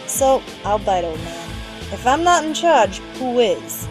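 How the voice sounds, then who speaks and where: Woman acting defiant young gang boss, higher-pitched. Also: sing-song, measured pace, music in the background, poor audio. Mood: mocking. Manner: commanding, sardonic. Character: tough young female street gang leader, defiant and sarcastic. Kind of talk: dramatic dialogue in audio drama.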